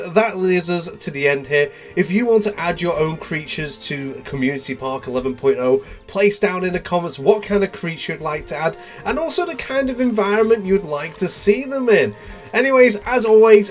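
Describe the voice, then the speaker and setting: A man talks through a park-building game in a relaxed, chatty voiceover, his voice stuffy and bunged up from a cold. His voice sits a touch higher than most men's. Quiet music plays faintly beneath him. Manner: chatty and easygoing. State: congested from a cold. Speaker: gaming video creator.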